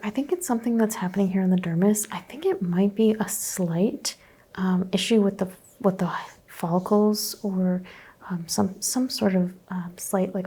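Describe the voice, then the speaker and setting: Soft-whispering woman playing an ASMR doctor. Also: mid-range voice, breathy edge. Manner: soft whispering, gentle questions. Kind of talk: ASMR medical exam roleplay.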